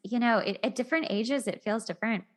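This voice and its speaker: A woman's voice: a little high, fast talker. A woman hosting a podcast in a casual, conversational interview style, informal and encouraging. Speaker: podcast host.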